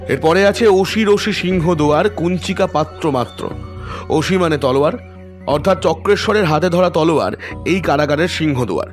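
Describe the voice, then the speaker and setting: A male actor plays a composed, confident detective in an audio mystery. His voice is a little high for a man and his delivery is deliberate and explanatory. Music runs softly behind him. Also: lightly acted. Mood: composed, explaining. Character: calm, self-assured male detective explaining a riddle. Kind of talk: dramatised audio detective story.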